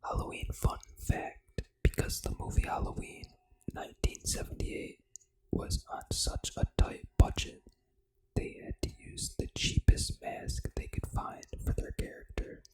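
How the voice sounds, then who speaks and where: A video game ASMR host delivers everything in a hushed whisper. The voice is breathy and fully unvoiced, with no normal speaking tone. The reading is soft, deliberate and unhurried. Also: low-quality recording.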